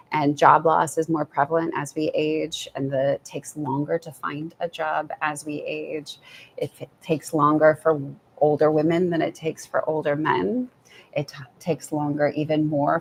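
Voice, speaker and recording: Soft-spoken woman with a gravelly low voice. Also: slight background noise. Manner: soft-spoken, thoughtful, many restarts. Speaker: author guest. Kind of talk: live author talk. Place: event venue with audience.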